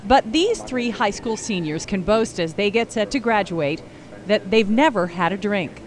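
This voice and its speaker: A woman's voice: mid-range voice, normal speed. Female news reporter, mid-range voice, scripted TV package narration.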